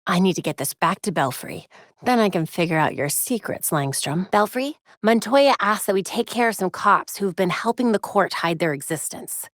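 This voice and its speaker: An actress playing a young, determined vigilante superheroine in a video game, with an American accent, caring and dryly witty in an earnest, conversational way. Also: female voice, mid-range voice, lively intonation, normal speed, no echo, studio quality, lightly acted. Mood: caring, businesslike. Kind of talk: scripted game voice lines.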